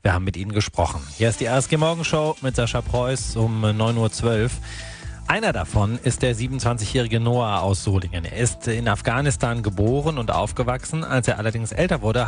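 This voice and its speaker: A man's voice: medium pitch, animated, fairly quick. A man hosting a local radio morning show, telling a news story in a conversational way and adding his own opinions. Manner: conversational, personal opinions mixed into the report. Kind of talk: radio news report with commentary. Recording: radio studio with faint music bed.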